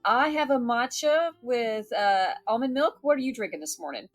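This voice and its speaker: A woman host with a lively, swooping pitch chats and asks questions in a casual interview. Her voice sounds dry and close. Soft guitar plays faintly behind her. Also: moderate pace, no echo.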